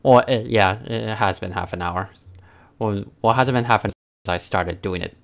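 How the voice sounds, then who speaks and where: A young man streams casually from a room at home. His voice sits fairly low with a strong fry. He talks to himself in halting bits as he works through a task. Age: young adult. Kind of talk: casual livestream muttering while doing digital art. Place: small room at home, indoors.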